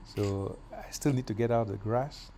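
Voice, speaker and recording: A middle-aged man from Kenya chatting casually while doing yard work outdoors, with relaxed pauses and fillers. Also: lowish voice, slight background noise. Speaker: vlogger.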